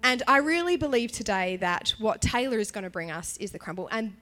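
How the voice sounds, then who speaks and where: A woman preaches from the front of a church, her voice partly worn out and strained from being sick. She talks in a chatty, lively way and leans hard into the words she wants to stress. Soft music plays faintly behind her. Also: on the high side, brisk. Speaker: worship leader giving a sermon. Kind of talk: sermon with prayer.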